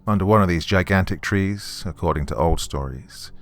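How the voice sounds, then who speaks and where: Deep-voiced British male horror narrator. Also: normal speed, soft background music. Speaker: horror podcast host and narrator. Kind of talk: dramatic scripted narration and sponsor reads.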